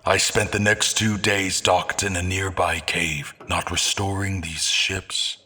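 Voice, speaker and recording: A man playing a brooding, grandiose schemer in a fantasy audio drama, with solemn, ornate, deliberate delivery and a husky, breathy voice. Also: sits low, flat delivery, bright, forceful tone, heavily acted. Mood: haunted, intense.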